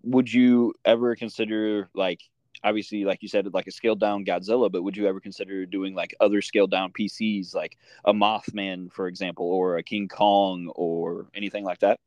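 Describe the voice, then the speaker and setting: A man with a fairly low voice hosts a casual interview in a very dry acoustic. He talks informally and haltingly, thinking aloud as he goes. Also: level tone, darkish tone, dry recording, highs cut off. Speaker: interviewer and host.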